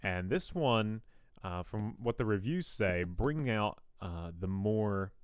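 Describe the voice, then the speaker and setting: A young man with a fairly deep radio-style voice, chatting casually and rambling with self-deprecating asides while he builds in a game. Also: lively intonation, takes it slowly, smooth, no echo, studio quality. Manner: rambling, self-deprecating, frequent ums and restarts. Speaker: gaming YouTuber. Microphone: new studio condenser mic.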